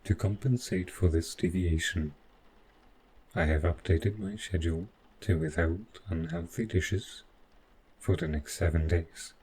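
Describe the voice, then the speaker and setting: A man playing a devoted, overprotective robot butler in a scripted audio roleplay, speaking in formal, measured, literal phrases. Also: low voice, a little mellow, slight background noise, poor audio. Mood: dutiful, precise.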